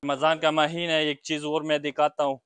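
A young man selling blankets in his small shop, eagerly pitching prices and agreeing with quick repeated yeses. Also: completely flat delivery, fairly bright tone, clean tone. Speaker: blanket shopkeeper. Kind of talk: sales pitch to a vlogger.

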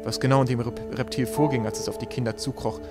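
A man's voice: mid-range voice, steady tone, quick pace, over loud music. A man reading a storybook aloud as scripted narration. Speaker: audiobook reader.

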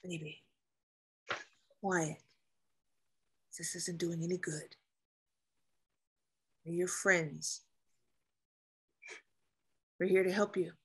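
An actress in a dramatic script reading, playing a female naval officer who is a steady, protective caretaker, with a breathy, husky voice. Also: fairly low pitch, very slow, long pauses, full character voice. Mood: soothing. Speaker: actress at a table read.

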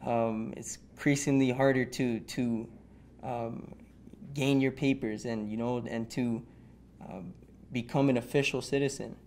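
A young man speaking earnestly in an interview, in a measured, halting way. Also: mid-range voice, fairly even intonation, a little mellow, slight background noise. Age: young adult. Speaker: university student interviewee. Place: indoors by a window. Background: plucked guitar music.

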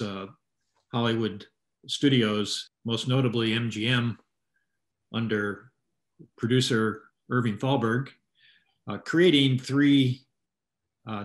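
An older man with a fairly low voice speaks as an interviewed author. His delivery is halting, with phrases broken up as he explains. The sound is roomy and echoey, like a video call from home. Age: 60s or older. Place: small room at home, indoors.

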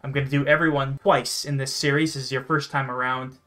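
A man's voice: mid-range voice, level tone, a little fast, bright, forceful tone. A young man hosting a gaming video, commenting casually in an encouraging, enthusiastic manner. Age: young adult. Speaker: host of a gaming show. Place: indoor room, sharing a webcam frame with a friend. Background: music.

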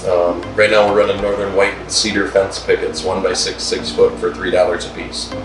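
Deep-voiced man talking in a roomy space. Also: flat delivery, moderate pace, far from the mic. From Wisconsin, USA. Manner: plain-spoken, frequent "um" fillers. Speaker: lumber business owner. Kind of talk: interview. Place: wood-paneled room indoors. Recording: roomy sound from off-mic with music behind.

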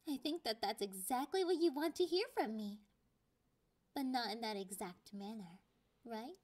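A woman voicing a clingy, possessive young catgirl girlfriend in an intimate ASMR roleplay, taunting in drawn-out phrases that slip into breathy whispers. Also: on the high side, lively intonation, a little mellow. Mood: teasing, taunting.

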